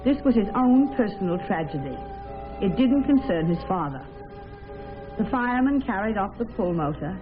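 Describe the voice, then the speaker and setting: Expressive woman narrating old-time radio. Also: mid-range voice, moderate pace. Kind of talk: scripted dramatic radio narration. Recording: tinny vintage radio recording. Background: music.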